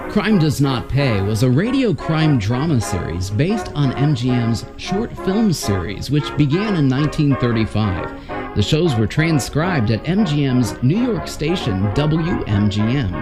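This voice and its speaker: A man's voice: medium pitch, big pitch swings, unhurried, loud music behind the voice. A man reading a scripted introduction as presenter of a vintage radio program. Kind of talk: scripted narration.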